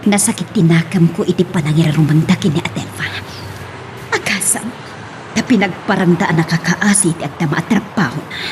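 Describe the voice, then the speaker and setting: A woman's voice: on the low side, fairly quick, noisy background, natural voice. A woman with an Ilocano accent playing a headstrong grown daughter in an amateur audio drama, emotive and insistent. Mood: upset, firm. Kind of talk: amateur Ilocano radio-style drama acting.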